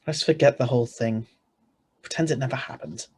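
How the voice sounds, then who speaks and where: A man's voice: medium pitch, fairly quick, darkish tone, breathy edge, close to an everyday voice. Man acting flustered ordinary husband, radio play. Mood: puzzled, domestic. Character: ordinary married man, flustered, narrating his own story. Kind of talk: 1940s radio acting read aloud. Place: video call reading.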